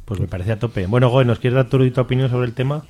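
A man with a Spanish accent from Spain hosts casual radio banter. He speaks quickly at an average pitch, with irreverent, colloquial interjections. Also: dark tone, faint music behind. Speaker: radio show host.

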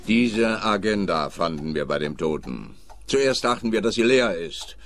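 A man's voice: lowish voice, expressive, measured pace, no echo, soft background music. A pompous, muddled policeman. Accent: Swiss German. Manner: self-important, earnest, muddled sayings. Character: dim, pompous male police officer.

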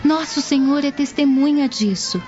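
Actress as earnest, high-voiced Roman maiden. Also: female voice, normal speed, darkish tone, natural voice. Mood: earnest, reassuring. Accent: Brazilian. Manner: earnest, idealistic, formal. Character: devout, fearless seventeen-year-old Roman noble girl. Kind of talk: dubbed radio drama acting. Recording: dull lo-fi audio.

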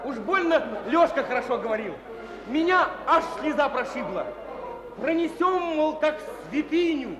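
A folksy, thrifty soldier in a radio play. His pitch is high and very animated, with a plain, naive turn of speech. It sounds in a reverberant hall. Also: normal speed. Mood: moved, naive. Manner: rustic, colloquial, naive. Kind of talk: Russian radio play acting. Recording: echoey hall with crowd noise.

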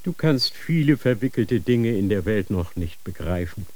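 A man's voice: lowish voice, animated, normal speed, mellow, close to an everyday voice. An actor playing a stern, fanatical church inquisitor who lectures his son in a solemn, preaching manner. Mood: commanding. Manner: solemn, preaching, grandly insistent. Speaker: inquisitor father. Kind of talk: radio drama acting.

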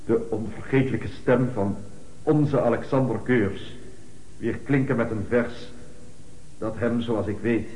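Solemn actor as funeral orator in echoing hall. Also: male voice, mid-range voice, warm tone, a little hiss or hum, close to an everyday voice. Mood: solemn, lofty. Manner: declamatory, measured pauses. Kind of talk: radio play eulogy. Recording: echoey hall with long reverb.

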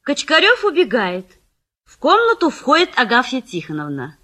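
Female narrator for audio play stage directions, high pitch, extremely lively intonation. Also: normal speed.

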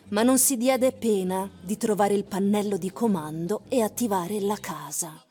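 Mellow woman narrator over faint music. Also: on the high side. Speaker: actress narrator. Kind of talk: literary scripted narration for radio drama.

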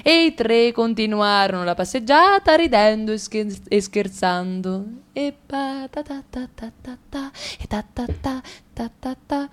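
A woman's voice: on the high side, lively intonation, measured pace, few pauses. A woman narrating a whimsical fairy tale for a radio drama in a playful, sing-song manner.

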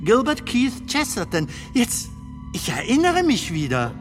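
Soft-hearted childlike old comedian, slow halting talk, very lively pitch, radio play with music under it. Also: male voice, full character voice. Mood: wondering, tender. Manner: halting, tender, questioning. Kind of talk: German radio drama acting.

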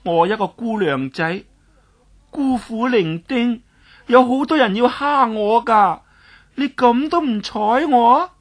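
A woman voices a cheeky spoiled young girl in a storytelling recording, coaxing and pouting, her voice a touch high and her lines slow and drawn out. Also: lively intonation. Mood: pleading. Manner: wheedling, pouting, drawn-out pleading. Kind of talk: Cantonese storytelling dialogue.

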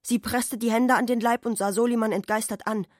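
A woman's voice: fairly high pitch, steady tone, brisk, no room sound, clean studio sound. A woman reads literary narration for a radio play. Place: dry radio studio.

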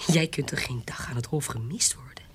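An actress playing a shrewd, defiant adventuress in an audio period drama, guarded, cool and commanding. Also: female voice, rumbling low, brisk, a little hiss or hum. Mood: conspiratorial. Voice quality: husky. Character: shrewd, defiant adventuress, forger and courtesan posing as noble. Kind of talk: audio drama acting in Dutch period piece.

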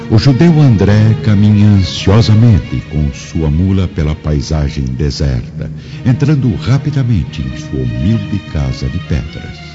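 A man's voice: low-pitched, animated, measured pace. A man with a Brazilian accent narrating a scripted radio drama in a solemn, dramatic manner. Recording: dull lo-fi audio with clear music bed.

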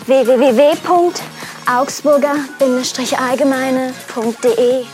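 A woman's voice: high voice, steady tone, unhurried, over music. A woman playing an irritated, self-conscious culture worker, delivering a pointed, exasperated spoken-word monologue. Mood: pointed, informing.

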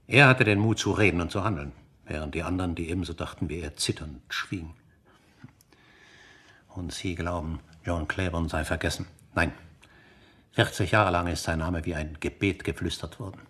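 Elderly scholar, low husky voice, solemn, earnest, measured, fervent idealist, radio drama. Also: male voice, a bit bright. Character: fervent elderly scholar and father, loyal to freedom.

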